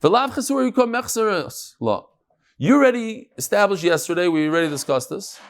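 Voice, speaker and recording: A man's voice: sits high, sing-song, moderate pace. A man giving an informal Talmud class, conversational and emphatic, mixing in Hebrew terms and rhetorical questions.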